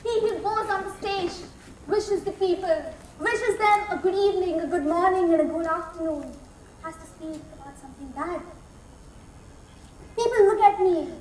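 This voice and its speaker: A woman performs a theatrical soliloquy in a very high voice. Her delivery is emphatic, with deliberate repetition. The sound is echoey and picked up from a distance, with faint noise. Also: darkish tone. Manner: theatrical, emphatic, repeats phrases with pauses. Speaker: contest performer. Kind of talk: dramatic soliloquy on stage.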